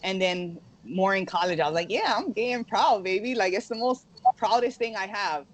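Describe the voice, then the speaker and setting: A woman's voice: medium pitch, moderate pace, poor audio. A young woman from Hawaii, a guest in a casual interview, who laughs often and fills her talk with "like". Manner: laughs often, says "like" a lot.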